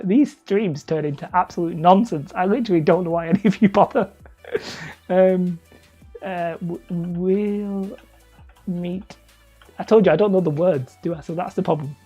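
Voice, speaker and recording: A man rambles casually through a livestream, joking at his own expense between thoughts as he works. His voice is soft and mellow with a husky edge. Faint music plays behind him. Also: lo-fi sound. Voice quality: calls his own voice high and whiny. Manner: rambling, self-deprecating, reads chat aloud. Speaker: hobby terrain-making streamer. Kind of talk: casual livestream chat while crafting.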